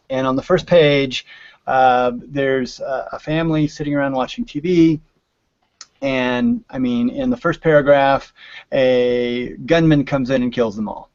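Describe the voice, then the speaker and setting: A man's voice: medium pitch, a little mellow, dry recording, lo-fi sound. A man speaking as a podcast guest, explaining writing craft conversationally with hypothetical examples and frequent check-ins.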